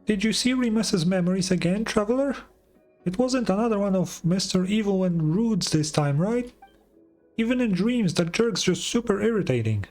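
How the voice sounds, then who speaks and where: A man voicing a small, childlike female fairy companion from a fantasy game, squeaky, excitable and impatient. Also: moderate pace, soft background music. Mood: curious, annoyed. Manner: squeaky, excitable, third-person chatter. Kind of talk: cartoonish fantasy game dialogue.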